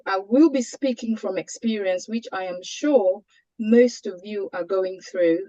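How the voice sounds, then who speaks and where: A woman with a Cameroonian accent speaks in a soft-edged, mellow tone at a middle pitch. She presents personal experience to a webinar in an earnest, measured way. The audio is slightly muffled, like an online call. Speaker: panelist sharing a patient's experience.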